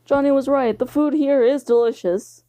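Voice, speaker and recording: A woman voicing a gruff, teasing young male swordsman. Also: high-pitched, moderate pace, warm tone, voice pushed up, lightly acted. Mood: amused.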